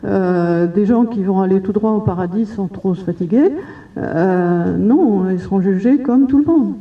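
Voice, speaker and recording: A woman on a conference panel giving a calm answer, with frequent hesitation fillers. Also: medium pitch, measured pace. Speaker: panel speaker. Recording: distant dull hall audio.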